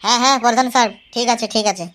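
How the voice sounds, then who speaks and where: A man's voice: extremely high pitch, very fast. A man voicing an eager, naive young village census worker in a comedy cartoon, chatty and repetitive, with a rural West Bengal Bengali accent. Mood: eager, obedient. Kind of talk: amateur comedy cartoon dialogue. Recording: loud background noise, clicky home dub.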